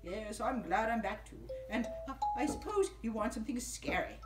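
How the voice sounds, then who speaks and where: Man putting on a high, serene angel puppet. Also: expressive, moderate pace, echoey room, over loud music. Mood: lofty. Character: ancient angel puppet, transformed zombie, serene and lofty. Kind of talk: puppet show for children.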